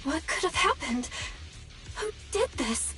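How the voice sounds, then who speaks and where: A woman's voice: extremely high pitch, big pitch swings, slow pace, full character voice. A woman playing a concerned, alert young female mission guide in a video game, earnest and inquisitive. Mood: worried, alert. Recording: loud game music behind. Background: loud video game music.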